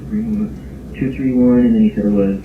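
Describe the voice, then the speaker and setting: A man's voice: mid-range voice, sing-song, normal speed. A man selling cars on a recorded phone call, explaining things casually and a little hesitantly. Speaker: car dealership salesperson. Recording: noisy, echoey, tinny recorded phone line.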